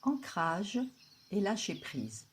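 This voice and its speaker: A woman guiding a deep relaxation meditation in a calm, soothing, unhurried way, with long pauses. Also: slight background noise. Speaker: yoga nidra guide.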